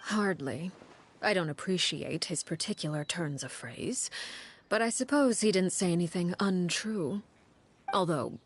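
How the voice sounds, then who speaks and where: An actress playing a calculating woman of authority in a video game, speaking in a measured, formal, guarded way with dry wit. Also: on the low side, slightly rough. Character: cool, calculating, commanding adult woman of authority. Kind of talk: anime-style video game voice acting. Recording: music faintly behind the voice.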